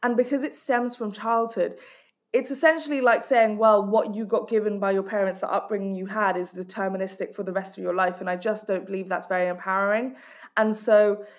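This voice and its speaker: A woman's voice: mid-range voice, fairly even intonation, fairly quick. A woman from Hong Kong, working as a life coach and author, giving direct and emphatic answers in a podcast interview.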